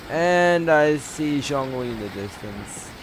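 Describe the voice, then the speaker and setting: A man doing casual gameplay commentary, reading dialogue aloud and reacting in an informal, halting way. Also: mid-range voice, animated, slight background noise, poor audio. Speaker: gameplay streamer.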